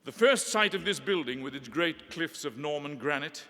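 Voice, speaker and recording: A middle-aged man from Northeast England giving a measured, eloquent formal address with gentle humor, recorded in a large hall with long reverb. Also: a little high, expressive. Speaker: ceremony speaker.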